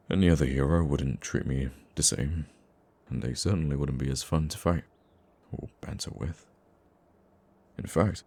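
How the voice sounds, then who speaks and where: A man playing a gruffly caring, teasing supervillain who comforts a nemesis in an intimate ASMR roleplay, with a deep, gravelly voice. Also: exaggerated voice. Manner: soft-hearted, teasing, halting when flustered.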